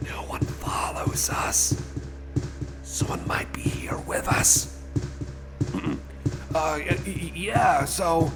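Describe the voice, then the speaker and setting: Over clearly audible music, a man plays a nervous, friendly male companion in a rambling ASMR roleplay. His pitch is mid-range and swings about a lot. He speaks slowly and haltingly, often stammering and doubling back on phrases. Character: nervous, friendly male cyborg companion lost in endless corridors.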